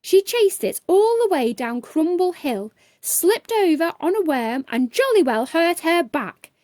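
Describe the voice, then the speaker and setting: Woman doing a squeaky little girl fortune cookie. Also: big pitch swings, measured pace, a little mellow, dry recording, clean studio sound, full character voice. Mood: indignant. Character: small young female fortune cookie trainee, timid but fiery. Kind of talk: children's storybook character voice.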